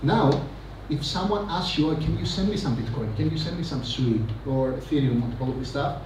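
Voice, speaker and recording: A man giving a rambling, energetic conference talk on stage, full of fillers and asides. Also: fairly high pitch, brisk. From Greece. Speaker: conference speaker. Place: large conference hall. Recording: echoey hall, mic from a distance, noisy.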